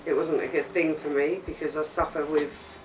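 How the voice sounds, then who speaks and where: A woman's voice: low-pitched, level tone, normal speed, slight background noise. A woman in her forties answering interview questions in clipped, matter-of-fact sentences. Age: 40s. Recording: distant mic, music underneath.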